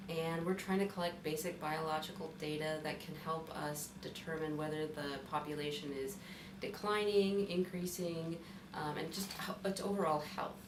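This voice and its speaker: A woman from Wisconsin, a college faculty member, explaining her research project plainly in an interview. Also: low-pitched. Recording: echoey room, mic far off, noisy.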